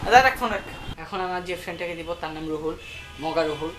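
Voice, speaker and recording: A man's voice: a little high, racing, music in the background. A young man with a Bangladeshi accent chatting casually in slang with bits of English, as a prank caller. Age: young adult. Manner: slangy, mixes in English words. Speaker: prank caller and channel host. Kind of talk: casual prank phone call chat. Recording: noisy amateur phone-call recording.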